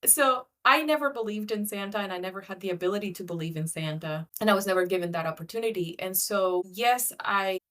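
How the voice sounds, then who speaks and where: A woman from Cuba speaks candidly in a relaxed podcast chat. Her voice is mid-pitched and she tells her stories in an animated way with quick asides. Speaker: podcast co-host. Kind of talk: casual podcast conversation.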